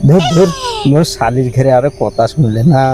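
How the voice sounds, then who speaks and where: A man's voice: medium pitch, a little fast, natural voice. A man voicing a teasing, huffy village husband doll in a cartoon, grumbling and moaning with a rural Bangladeshi accent. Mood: huffy, embarrassed. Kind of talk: puppet-cartoon dialogue.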